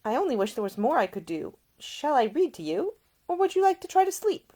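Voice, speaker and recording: Caring, high-lilting young woman voiced by a narrator. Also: sing-song, fairly quick. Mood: worried, coaxing. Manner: solicitous, polite, gently earnest. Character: caring, earnest young woman, anxious and proper.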